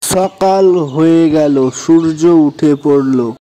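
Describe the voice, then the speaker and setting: A man's voice: a little high, very slow, mellow, poor audio. A man narrating a scripted children's story as the storyteller.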